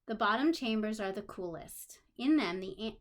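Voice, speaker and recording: A woman teacher reading aloud to young children in an expressive manner, pausing for simple explanations and questions. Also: mid-range voice. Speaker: special education teacher.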